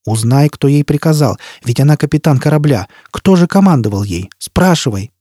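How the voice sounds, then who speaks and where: A man's voice: fairly high pitch, lively intonation, normal speed, dark tone, dry recording, studio quality. A man voicing an enraged, desperate, vengeful man in an audiobook, with a harsh, commanding, embittered manner. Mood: insistent. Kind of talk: audiobook character acting.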